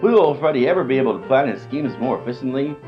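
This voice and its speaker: A man's voice: medium pitch, animated, quick pace, lo-fi sound. A man announcing a comedy radio serial in a mock-dramatic narrating style. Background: music.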